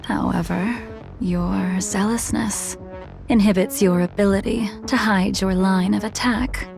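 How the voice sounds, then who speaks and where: Game dub role: aloof swordswoman, unhurried, gravelly creak, formal and cryptic. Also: mid-range voice, fairly even intonation, over music. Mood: composed, appraising. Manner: measured, formal, composed. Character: calm, aloof blind swordswoman, cryptic and knowing. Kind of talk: English video game dub acting.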